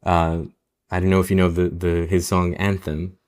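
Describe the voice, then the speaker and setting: A young man from Israel, a native Hebrew speaker, talking slowly and thoughtfully in a podcast interview. His voice sits very low. He often restarts his phrases as he thinks them through. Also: little pitch movement, darkish tone. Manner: reflective, many restarts and "um"s. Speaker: novelist guest.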